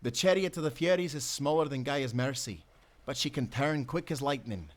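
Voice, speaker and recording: An actor playing a confident, loyal Scottish sailor who talks in jaunty nautical dialect in an audio adventure. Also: male voice, mid-range voice, normal speed, lightly acted. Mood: earnest, planning. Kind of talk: cinematic audio adventure acting.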